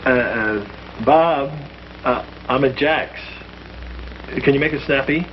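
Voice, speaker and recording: A man's voice: medium pitch, slow pace. A man playing a priest in a 1950s film, earnest and polite. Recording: muffled old film soundtrack, faint hiss.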